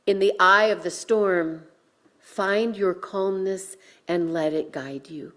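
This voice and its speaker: Female minister, medium pitch, unhurried deliberate sermon delivery with spaced-out phrases. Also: a bit bright. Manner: deliberate, measured phrasing with pauses. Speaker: minister leading a worship service. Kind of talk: sermon with guided breathing.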